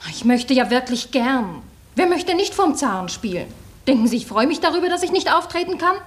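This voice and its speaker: A theatrical, plaintive actress in a 1950s radio play. Her voice sits high and swoops expressively, with a dramatic air. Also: sing-song, fairly quick. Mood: defensive. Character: lamenting, theatrical actress in a serf troupe.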